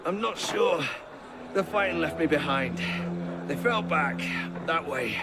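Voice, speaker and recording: An actor playing a wounded, shaken soldier in a video game, sounding hurt and strained. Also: male voice, fairly high pitch, lively intonation, measured pace. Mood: shaken, pained. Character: wounded, shaken male soldier reporting an ambush. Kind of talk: video game cutscene acting. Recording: loud outdoor noise behind dialogue.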